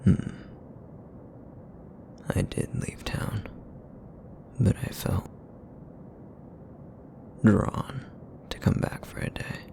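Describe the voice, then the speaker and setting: A man voicing a broody, guarded feral essence eater in an ASMR roleplay, with a raspy, rumbling, breathy murmur and terse, grudging phrases. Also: extremely slow, pauses often, mellow, a little hiss or hum, full character voice. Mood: wry, suspicious. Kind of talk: ASMR roleplay audio acting.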